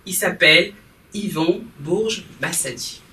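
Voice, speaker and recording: Bright-voiced woman hosting a TV interview. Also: lowish voice, takes it slowly. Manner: formal welcoming host. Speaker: TV show host. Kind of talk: TV studio interview. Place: TV studio. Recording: roomy studio, distant mic, faint noise.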